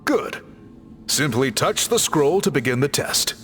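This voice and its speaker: A man's voice: a little high, very animated, a little slow, a bit bright, soft background music. A man voicing a polite, composed scholar in a video game, with courteous, precise and formal phrasing. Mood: courteous, assured. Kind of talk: video game character acting.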